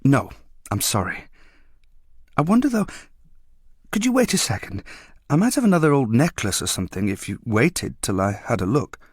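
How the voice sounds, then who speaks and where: A man's voice: medium pitch, big pitch swings, normal speed, long pauses, breathy edge, dry recording, clean studio sound, voice pushed up. Man voicing a hesitant young housewife. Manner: doubtful, tentative. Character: hesitant, nervous young housewife, half ashamed, half eager.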